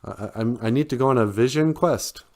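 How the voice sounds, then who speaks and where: A man's voice: medium pitch, brisk, darkish tone, natural voice. A man voicing a naive, unsure little male teddy bear in a children's tale, hesitant and earnest. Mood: hesitant, glum.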